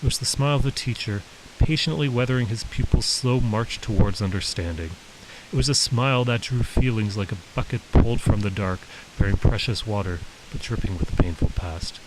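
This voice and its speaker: A man reading his own story as an author, in measured, descriptive scripted narration. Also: fairly low pitch. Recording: hissy background noise.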